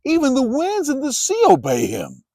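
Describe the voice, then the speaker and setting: Guy doing scared amazed disciple, voice way up high, urgent, bible story. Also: huge pitch swings, normal speed, a little mellow, no echo, studio quality, exaggerated voice. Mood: awed. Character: terrified, amazed male disciples of Jesus.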